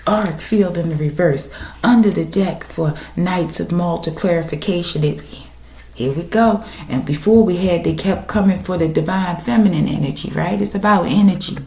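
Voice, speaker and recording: Raspy, gravelly woman reading tarot. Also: fairly low pitch, moderate pace, mellow. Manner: emphatic, repeats "okay?" to the audience. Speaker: tarot reader. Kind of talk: casual tarot reading to viewers. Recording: echoey room with faint noise.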